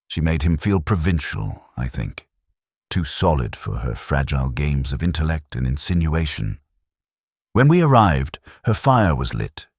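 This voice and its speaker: A man playing a weary, literate police inspector, narrating a detective drama in a measured, melancholy, literary way. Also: extremely low pitch, breathy edge, dry recording, clean studio sound, full character voice. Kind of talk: scripted first-person detective narration.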